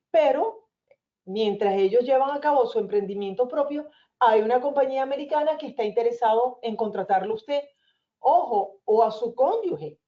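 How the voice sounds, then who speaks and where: A woman with a Venezuelan accent, an immigration lawyer explaining visa options in a webinar in a calm, reassuring manner. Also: a little high, dull audio. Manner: reassuring, explanatory, addresses listeners as señores.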